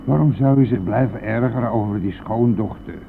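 Radio play role: evasive, cajoling bus driver, creaky voice, dismissive bluster; thin old-recording sound. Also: male voice, lowish voice, a little fast, narrow, tinny audio, a little hiss or hum. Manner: blustering, offhand, wheedling.

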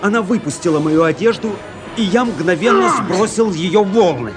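A man's voice: high-pitched, big pitch swings, normal speed. An actor playing an intense, introspective young officer who narrates his own adventure in a radio drama. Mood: agitated, breathless. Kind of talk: dramatic first-person narration, radio play. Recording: radio play with music underneath. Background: music.

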